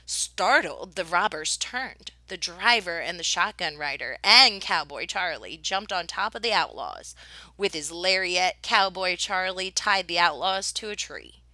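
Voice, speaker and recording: A woman reading a children's picture book aloud in an expressive, dramatic storytelling style. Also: lowish voice, a little slow, no echo. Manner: dramatic, with whispered suspense and excited exclamations.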